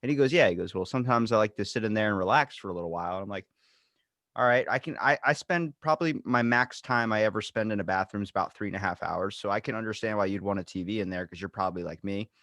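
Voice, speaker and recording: A man's voice: on the low side, fast talker, a bit bright, no room sound, studio quality. A man hosting a casual podcast chat, loose and profane, telling stories with quoted back-and-forth. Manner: loose, swearing, tells stories with quoted dialogue. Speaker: podcast host.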